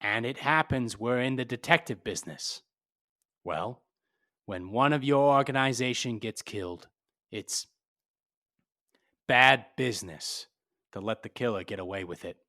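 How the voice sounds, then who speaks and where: An actor playing a tough, cynical private detective in 1940s radio drama style, blunt and clipped. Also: male voice, mid-range voice, lively intonation, measured pace, pauses often, pushed and bright. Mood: grimly resolved. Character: hard-boiled cynical male private detective, tough and unsentimental.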